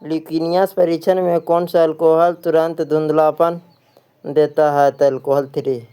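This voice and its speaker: A man's voice: a little high, level tone, normal speed, dark tone, smooth. A man with an Indian accent teaching for an exam, reading quiz questions and answers aloud and often repeating them.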